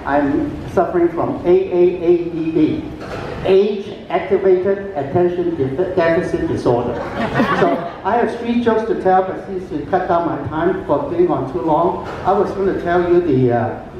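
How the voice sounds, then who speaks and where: Brief: older man, Cantonese first language, high voice, chatty stand-up through an echoing ship PA, taped from far back. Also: highs cut off, slight background noise. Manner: chatty joke-telling with frequent pauses and fillers. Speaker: talent show contestant. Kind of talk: stand-up comedy. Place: large atrium on a cruise ship. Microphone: handheld microphone through a PA, filmed from afar. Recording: booming PA echo from a distant camera.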